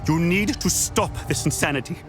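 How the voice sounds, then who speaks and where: A male actor plays a bitter insider weighed down by guilt, in a video game. His slightly high voice is grave and urgent. Music plays clearly behind him. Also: normal speed, natural voice. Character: guilt-ridden, bitter male insider pleading to end suffering. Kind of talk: dramatic video game acting.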